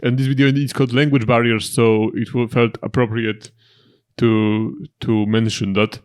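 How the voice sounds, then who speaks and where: A young man with a Polish accent, a native Polish speaker co-hosting a reaction video, talking in a halting way and often repeating words as he jokes dryly. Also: mid-range voice, little pitch movement, a little mellow, clean tone. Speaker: reaction channel co-host. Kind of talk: casual chat, joke telling.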